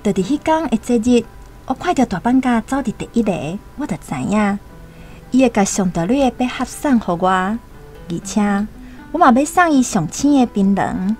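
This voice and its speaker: An actress with a Taiwanese accent playing a tender, playful young island maiden in love in a literary radio drama. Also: female voice, fairly high pitch, animated, moderate pace, darkish tone, faint music behind, natural voice. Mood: smitten, happy.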